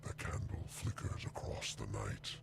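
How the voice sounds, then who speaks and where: An actor voicing a menacing ancient male spirit who recites dark verse theatrically in a video game, with an ominous, breathy growl. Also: background noise, close to an everyday voice. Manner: slow, ominous, dramatic. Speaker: prophecy narrator.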